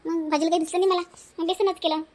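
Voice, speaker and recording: A woman's voice: very high-pitched, level tone, very fast. A woman voicing village women in a family cartoon, bickering in-laws, speaking Marathi with a Varhadi accent. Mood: chatty.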